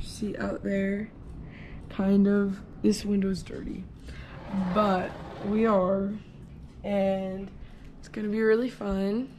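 Teen girl vlogger, slow rambly chat, higher voice, noisy background, camera at arm's length. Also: darkish tone. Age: teens. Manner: chatty, rambling, with fillers and trailing sentences. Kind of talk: casual vlog chat. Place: inside a car, then a house. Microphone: camera held at arm's length.

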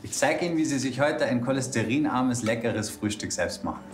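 An actor playing an instructive, health-minded nutrition coach in a TV crime comedy. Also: male voice, medium pitch, a little fast, lightly acted. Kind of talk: comedy crime series acting. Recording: echoey room with background music.

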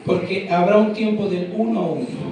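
Argentine preacher shouting in echoey hall. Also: male voice, sits high, normal speed, far from the mic, over loud music. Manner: fervent preaching with shouts and quips. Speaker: pastor. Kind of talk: energetic sermon. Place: large packed church hall. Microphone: handheld mic. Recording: echoey hall, crowd noise. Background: congregation noise.

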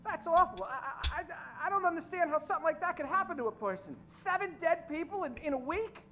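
A man acting a very high, flustered gentleman. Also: lively intonation, brisk, faint music behind, full character voice. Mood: flustered, sympathetic. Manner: hesitant, stammering. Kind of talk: old-time radio acting. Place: theatre stage.

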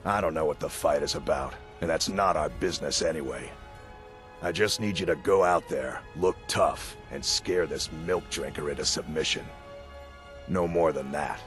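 Burly fantasy game warrior, very deep voice, gruff brotherly bluntness, simple commanding delivery, music underneath. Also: male voice, moderate pace, a bit bright. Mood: blunt, commanding. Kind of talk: video game dialogue.

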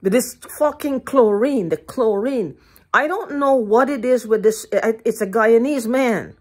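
An older woman ranting to her phone camera in a living room, heated, profane and repetitive, with a hoarse throat. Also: on the high side, a little slow, a little mellow. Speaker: vlogger. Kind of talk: agitated rambling monologue to camera. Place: living room at home, indoors. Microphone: phone held at arm's length.

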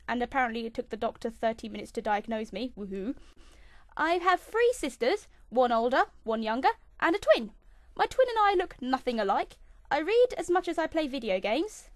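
A young woman with an English accent talks through a scripted list about herself. Her voice sits high and swings up and down, bright one moment and then a low mutter the next. It is recorded dry and close on a home computer mic. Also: no room sound. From England. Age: 20s. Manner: chirpy, bouncy delivery with sudden dips into a low mutter. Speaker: channel host. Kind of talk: scripted fifty-facts vlog.

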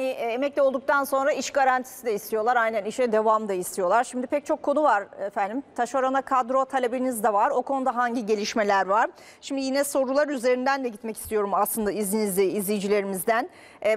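Female news anchor, high voice, conducting a live studio interview. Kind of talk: live TV interview, relaying viewer questions. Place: large indoor TV studio.

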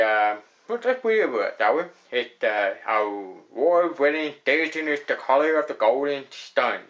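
A man's voice: mid-range voice, fairly bright tone. Young man, casual beer review, rambling, filler words, dull lo-fi audio, small room. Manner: rambling, repeats "actually", lots of fillers. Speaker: host of a beer review show. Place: small room indoors at a table.

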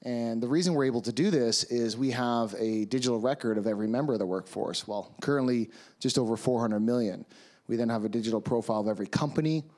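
A man in his thirties from Canada, with a Canadian accent, giving a relaxed, conversational conference presentation on stage with a handheld microphone and plenty of fillers. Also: medium pitch, quick pace. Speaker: conference speaker. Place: large conference hall with audience.

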